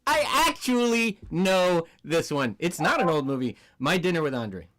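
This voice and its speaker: A man's voice: on the high side, sing-song, moderate pace, a bit bright. A man playing as a quiz show contestant, chatting casually with wry jokes. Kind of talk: casual joking banter.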